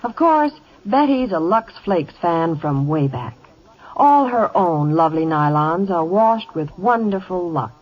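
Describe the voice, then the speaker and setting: A woman's voice: fairly low pitch, expressive, a little slow. A woman giving scripted advertising banter as a radio reporter in 1950 style. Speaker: radio reporter and sponsor pitchwoman. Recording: muffled vintage 1950 radio broadcast.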